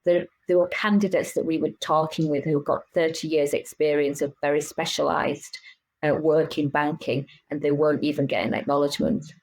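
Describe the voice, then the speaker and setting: A woman's voice: low-pitched, steady tone, normal speed, a little mellow, poor audio. A woman in her fifties, a business owner and podcast guest, talking frankly and animatedly in casual interview chat with many restarts. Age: 50s.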